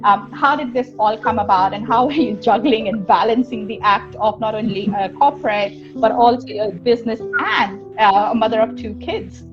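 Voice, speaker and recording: Woman, interview host, slightly high pitch, conversational, muffled lo-fi audio. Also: moderate pace, few pauses. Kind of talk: live online interview introduction, conversational. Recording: dull lo-fi audio, faint music.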